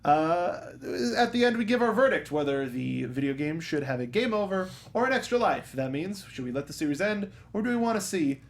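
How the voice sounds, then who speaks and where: A man's voice: fairly high pitch, sing-song, brisk, hardly any pauses. A man hosting a film review podcast in casual, joking panel chat.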